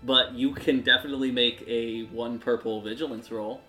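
A man improvising narration as game master of a tabletop game, in a halting, conversational way. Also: medium pitch, steady tone, echoey room. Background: music.

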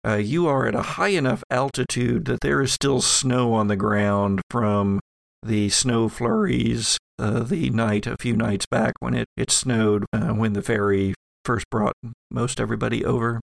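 A man runs a tabletop horror game in a creaky, somewhat low voice. He improvises scenes vividly, feeling his way through them haltingly. The sound is close and dry. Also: fairly even intonation, no room sound. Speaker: game master and podcast host.

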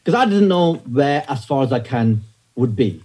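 A comedian in his thirties with a northern English accent, telling stories in a relaxed interview with wry, self-deprecating humor. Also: medium pitch, normal speed, breathy edge. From north of England. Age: 30s. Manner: wry storyteller, self-deprecating, many fillers. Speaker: stand-up comedian guest. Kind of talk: casual interview chat.

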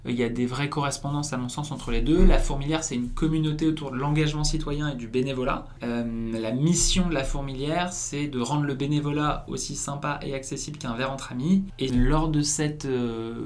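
A man in his twenties from northern France, a guest telling his story in a chatty way with many fillers and restarts. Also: mid-range voice. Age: 20s. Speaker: guest, founder. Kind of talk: casual interview.

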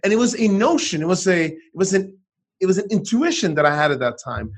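A man's voice: sits high, fast talker. A middle-aged man from Sweden, an author and entrepreneur, telling his story as a guest in a conversational interview, restarting sentences as he goes. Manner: animated storytelling, frequent restarts.

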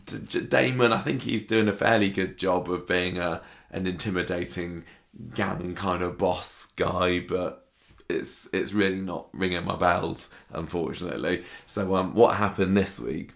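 Low-voiced man with a sore throat. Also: steady tone, creaky, a bit bright. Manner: mocking impressions of characters, halting restarts. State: sore throat, losing his voice. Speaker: soap opera podcast host. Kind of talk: casual recap chat.

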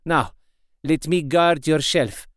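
A man playing a wise, kindly elderly professor who speaks in a solemn, formal, deliberate way. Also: on the high side, no room sound, clean studio sound, pitched above the performer's own voice.

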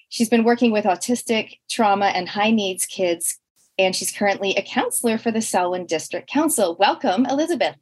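A woman's voice: medium pitch, breathy edge. Canadian-accented woman hosting a radio show. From New Zealand. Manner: earnest, chatty, with frequent restarts. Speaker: radio host.

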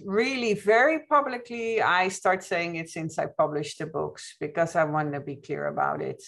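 A woman's voice: lowish voice, normal speed, creaky, fairly bright tone. A woman from the Netherlands with a Dutch accent, speaking reflectively and explaining things as a guest in a conversational interview. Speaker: podcast guest, witchcraft author.

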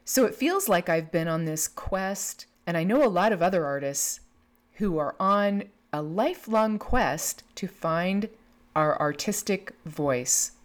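A woman's voice: on the low side, expressive, unhurried. A woman in her fifties hosting a podcast, speaking reflectively and reading quotations aloud from a script. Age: 50s. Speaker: podcast host.

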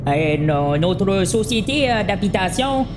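A man voicing an awkward, hesitant male house buyer in an amateur horror story, speaking haltingly. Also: high voice, expressive, noisy background. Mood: awkward, evasive.

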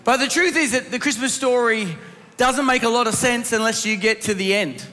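A man's voice: very high-pitched, normal speed, pushed and bright. A man preaching a sermon to a congregation in an instructive way, asking rhetorical questions, his voice strained by laryngitis. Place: church hall. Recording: reverberant hall sound.

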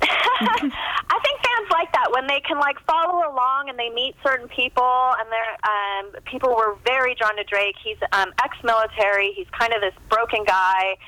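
Middle-aged American woman, bright voice, guest author, chatty and rambling, casual interview delivery over the phone. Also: on the high side, normal speed, no echo, rough recording. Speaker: novelist being interviewed.

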